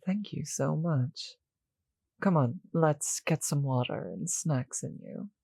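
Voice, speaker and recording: A performer voicing a seductive, doting vampire with a possessive streak in an intimate ASMR roleplay, in a slow, breathy, purring manner. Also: mid-range voice, mellow, dry recording, studio quality, full character voice. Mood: tender, doting.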